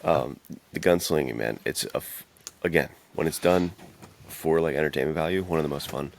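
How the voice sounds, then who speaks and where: Dude podcast cohost, super deep creaky voice, chill slangy fan chat. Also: male voice, brisk, a little hiss or hum. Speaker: podcast co-host. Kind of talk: casual chat about films and games.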